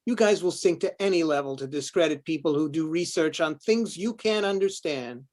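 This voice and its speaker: A man's voice: a little high, animated, normal speed, lightly acted. A man playing a grumpy, defensive researcher with a snappish, indignant manner in a readers-theater drama. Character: jittery, grumpy, defensive male UFO researcher. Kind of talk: amateur readers-theater script reading.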